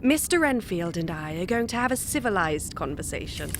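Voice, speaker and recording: A woman's voice: lowish voice, very animated, a little fast. A woman playing a brave, composed young wife, polite and coaxing, in an audio drama. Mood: calm coaxing. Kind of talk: theatrical audio drama acting. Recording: clear background noise.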